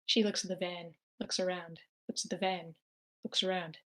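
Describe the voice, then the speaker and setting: A woman's voice: on the low side, steady tone, moderate pace, a bit bright. A woman narrating screenplay action lines aloud at an amateur table read, in a plain manner with occasional stumbles. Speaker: stage-direction narrator.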